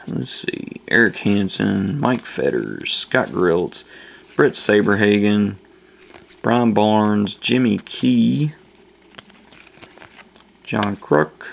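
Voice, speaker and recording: Host, male, unhurried low-key delivery, very gravelly, faint room hiss. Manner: slow, low-key listing of names. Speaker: channel host opening card packs. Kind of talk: casual card-opening commentary. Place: indoors at a table.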